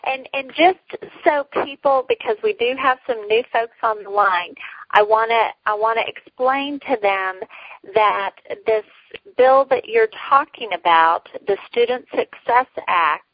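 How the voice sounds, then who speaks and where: A woman hosting a conference call by phone, explaining conversationally in a somewhat halting way. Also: on the high side, no room sound. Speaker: host. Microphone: telephone. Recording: dull lo-fi phone conference line.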